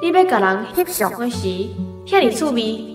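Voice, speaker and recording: A talkative, direct young woman in a radio drama, with a Taiwanese accent. Her voice is on the high side, and gentle guitar music plays faintly behind her. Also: big pitch swings, moderate pace. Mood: confiding. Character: frank, chatty young woman confiding about courtship. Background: plucked guitar music.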